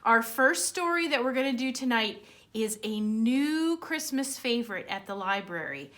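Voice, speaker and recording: A woman reading a children's picture book aloud as a storytime host, with expressive, dramatic emphasis and a way of speaking directly to young listeners. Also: on the high side, moderate pace.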